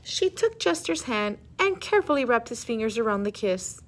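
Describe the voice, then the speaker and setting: A woman teacher reads aloud for young children. Her voice is fairly high with very lively intonation. She is expressive and instructive as she tells the story. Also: normal speed, a little hiss or hum, poor audio. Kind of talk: children's read-aloud with questions to pupils.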